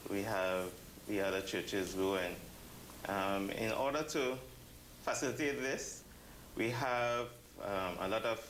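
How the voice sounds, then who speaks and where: A man giving explanatory interview answers in measured, halting phrases. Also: lowish voice, fairly bright tone. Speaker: interview guest, church committee member. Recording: roomy echo with background noise.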